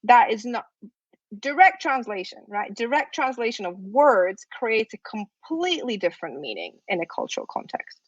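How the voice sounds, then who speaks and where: A woman's voice: a little high, very animated, moderate pace, no echo. Woman, Russian native speaker, academic presenter, conversational, dry close sound, lo-fi online audio. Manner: conversational, checks in with "right?". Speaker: workshop presenter. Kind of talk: academic lecture. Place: remote video talk. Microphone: computer microphone on a video call. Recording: dull, lo-fi online conference audio.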